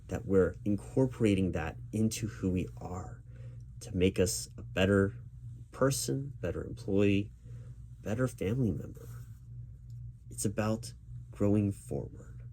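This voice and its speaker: Male ASMR roleplayer as a patient, encouraging recruiting manager; relaxed, warm tone, slightly low pitch. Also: a little slow, pauses often. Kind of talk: loud-spoken ASMR interview roleplay. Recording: background noise with keyboard typing.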